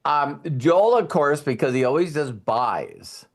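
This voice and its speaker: A man's voice: a little high, animated, a little slow. Frank, casual man coaching on a call. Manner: frank and informal, mild swearing. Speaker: host of a trading group call.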